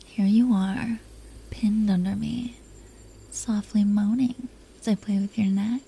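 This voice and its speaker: A woman playing a possessive, proud but secretly tender dragon queen in an intimate roleplay audio drama, breathy and teasing. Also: medium pitch, steady tone, takes it slowly, pauses often, dark tone, a little hiss or hum. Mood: seductive whisper. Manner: breathy, teasing, haughty, lingering delivery.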